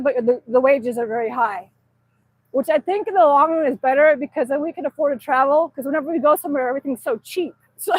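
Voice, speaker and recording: A woman's voice: high voice, dry recording, low-quality recording. A middle-aged woman from Alaska, chatting casually on a livestream outdoors in the snow and reading out viewer comments. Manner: chatty, reads out chat messages and answers them. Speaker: livestream host.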